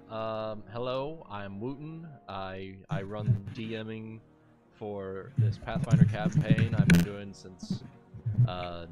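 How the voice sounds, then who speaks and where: A man casually chatting as game master and host of a tabletop game livestream. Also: fairly low pitch. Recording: loud background music under the voice.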